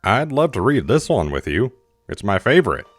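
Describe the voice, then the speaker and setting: A man's voice: lowish voice, big pitch swings, normal speed, soft background music. A man voicing a kindly, apologetic café pony. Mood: gentle. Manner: gentle, apologetic.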